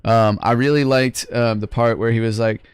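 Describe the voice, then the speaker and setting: A man's voice: mid-range voice, fairly even intonation, moderate pace, smooth, no echo. A young man hosting a livestream, casually rating music contest entries in loose, slangy talk full of restarts. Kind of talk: casual livestream review chat.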